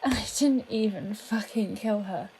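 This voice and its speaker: Female police colleague with a secret played by an actress: resentful, guilt-laden, halting delivery, mid-range voice, audio play with light noise. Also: medium pitch, dark tone, close to an everyday voice, poor audio. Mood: bitter, vengeful. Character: guilt-ridden, vengeful female police colleague, hiding a crime. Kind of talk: audio drama acting.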